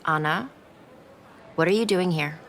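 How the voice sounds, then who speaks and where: An actress playing a self-assured, guarded culture curator in a naturalistic audio drama. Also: female voice, lowish voice, unhurried, fairly bright tone, a little hiss or hum. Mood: guarded, curt.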